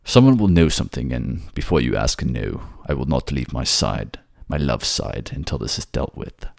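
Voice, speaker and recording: Casting: brooding male fantasy king, voiced by a man, bottomless bass with fry, low-key devoted delivery, intimate ASMR drama. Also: very animated, dry recording, studio quality, exaggerated voice. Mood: grudging, resolute. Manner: halting, subdued, low-key. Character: Alistair, brooding muscled male warrior king, guarded, devoted.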